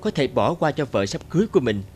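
Smug, manipulative young cartoon villain. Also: male voice, medium pitch, sing-song, fast, a little mellow, husky. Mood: smug, threatening. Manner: insinuating, coaxing with veiled threat. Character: smug, manipulative blackmailing ex-boyfriend, young man. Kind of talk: animated drama voice acting.

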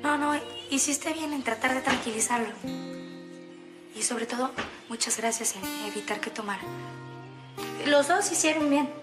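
Woman playing kind reassuring telenovela lady, high voice, guitar music behind. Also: moderate pace, long pauses, natural voice. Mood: reassuring, grateful. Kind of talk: telenovela acting.

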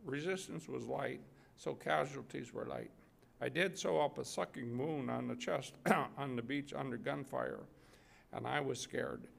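An older man reading as a candid, wry army medic writing from war. His medium-pitched voice is extremely gravelly, with frequent throat-clearing. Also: measured pace. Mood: matter-of-fact. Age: 60s or older. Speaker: readers theater reader. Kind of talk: reading war letters aloud. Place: indoor museum gallery at a podium.

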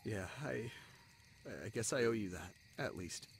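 A man playing a bitter, wounded young spider prince in a fantasy audio drama, speaking in halting, emotional phrases with heavy pauses. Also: fairly low pitch, vocal fry, a little hiss or hum. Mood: subdued, resigned. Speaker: voice actor in an audio roleplay.

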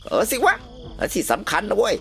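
A woman's voice: a little fast, close to an everyday voice. A woman voicing a cranky old village grandmother in a cartoon comedy, brash, blunt and scolding. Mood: grumbling, opinionated. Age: older.

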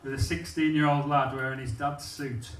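A man's voice: medium pitch, little pitch movement, moderate pace, distant mic, very noisy. A man, telling a blunt, self-mocking stand-up story on a comedy club stage into a handheld mic. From England. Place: comedy club stage, small venue with live audience. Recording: echoey room, mic off-axis, audience laughter.

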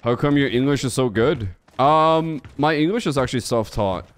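A man's voice: mid-range voice, moderate pace, slight background noise. A young man from Sweden whose first language is Swedish, chatting casually and bluntly with his viewers while he plays, with plenty of swearing. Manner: blunt and profane, talks straight to chat. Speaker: game streamer. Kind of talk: casual chat while playing.

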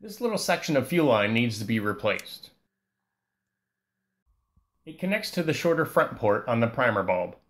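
A man giving a calm, scripted voice-over that walks through a repair step by step. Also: medium pitch, normal speed, pauses often. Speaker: repair channel host.

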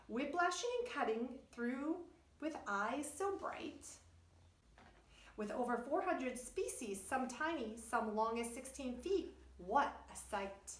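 A middle-aged woman, a preschool teacher reading aloud and explaining things to young children in an animated way. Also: on the high side. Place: indoors, wood-panelled room by a puppet stage. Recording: roomy echo, distant microphone.

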